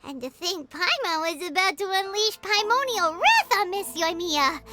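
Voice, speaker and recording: A woman voicing a tiny, excitable, childlike floating companion in a video game, with a squeaky, cutesy, exclamatory delivery. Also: normal speed. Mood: excited. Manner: cutesy, exclamatory, refers to self in third person. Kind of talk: animated video game voice acting. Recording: loud game music behind.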